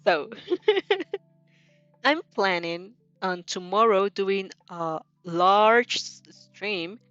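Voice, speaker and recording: A woman chatting casually as a host, reacting to a story in a giggly, excitable, rambling way. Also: mid-range voice, smooth, no room sound, lo-fi sound. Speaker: host reading fan fiction aloud and reacting. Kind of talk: casual reaction stream chat.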